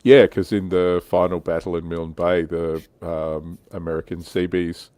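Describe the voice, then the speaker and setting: A man's voice: sits low, level tone, unhurried, warm tone, no echo. A man hosting a livestream with casual chat about tabletop wargaming. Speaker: livestream host.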